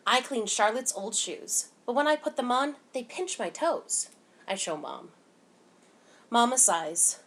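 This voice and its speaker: A woman reading a picture book aloud to children in an expressive, animated way, giving emphasis to the dialogue. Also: medium pitch. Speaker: children's story time reader.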